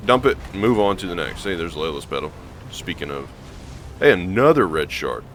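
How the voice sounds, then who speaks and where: A hoarse man with a gravelly voice, rambling casually through gameplay commentary with lots of ums and restarts. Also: sits low, very animated, normal speed, slight background noise. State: hoarse from allergies. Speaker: gaming channel host.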